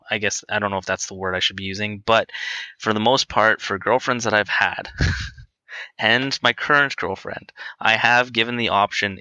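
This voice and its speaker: A man in his late twenties talking candidly and casually as a guest, hesitant and halting, feeling his way through his answers. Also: fairly low pitch, bright, forceful tone, clear, resonant tone, no room sound, low-quality recording. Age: twenty-nine. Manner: hesitant, halting, self-conscious. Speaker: interview guest on a podcast.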